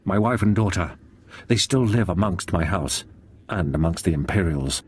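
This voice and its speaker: An actor playing a proud captive nobleman in a video game, formal, deliberate and guarded, with a husky voice. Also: low voice, lively intonation. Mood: resolute. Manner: formal, deliberate, haughty phrasing. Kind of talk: dramatic video game acting.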